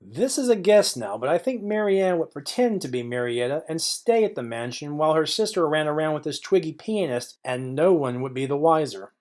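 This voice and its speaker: A man playing a clever private detective in a noir audio drama, confident, wry and deliberate. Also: on the high side, lively intonation, darkish tone, lightly acted. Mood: deductive.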